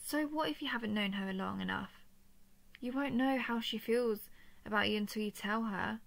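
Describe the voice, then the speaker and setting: A woman's voice: a little high, creaky. A woman voicing an affectionate, playful and easily flustered female dragon in an intimate audio roleplay. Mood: gentle, coaxing. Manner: tender, teasing, halting when flustered.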